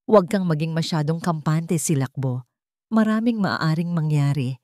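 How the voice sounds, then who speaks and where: A woman narrator puts on a male accomplice in a romance drama. He is sarcastic and wary, quick to caution. The sound is dry and close. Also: sits low, a little mellow, no echo, natural voice. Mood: warning.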